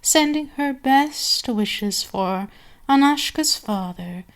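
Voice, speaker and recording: Woman playing a very slow, commanding vampire queen. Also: high voice, lively intonation, heavily acted. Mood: conspiratorial, measured. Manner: slow, deliberate, domineering, with savoring pauses. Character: commanding seductive vampire queen addressing her enslaved servant. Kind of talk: ASMR roleplay, scripted monologue.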